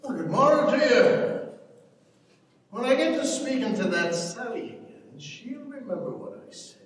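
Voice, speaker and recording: A man playing a stern, proud, gruff farming patriarch in a declamatory stage reading. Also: extremely high pitch, lively intonation, measured pace. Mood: gruff, complaining. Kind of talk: dramatic stage reading of an Irish rural play. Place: large reverberant hall, recorded from afar. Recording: echoey hall, distant microphone.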